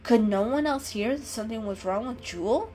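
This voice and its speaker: A woman giving an expressive, dramatic reading of a children's animal story as a storyteller. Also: a little high, a little fast, slight background noise. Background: background music.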